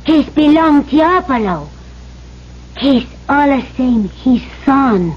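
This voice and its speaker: High, slow-speaking island wife. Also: female voice, animated, a little hiss or hum. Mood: grave, explaining. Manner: halting pidgin English in short simple phrases. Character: devoted, fearful young island wife. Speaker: actor in supporting part. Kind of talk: old-time radio drama acting. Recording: muffled old radio recording.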